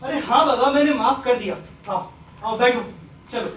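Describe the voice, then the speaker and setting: An actor playing a kindly, forgiving middle-aged father in a Hindi stage play, speaking in a measured, gentle, reassuring way. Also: very high-pitched. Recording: roomy distant stage recording, faint noise.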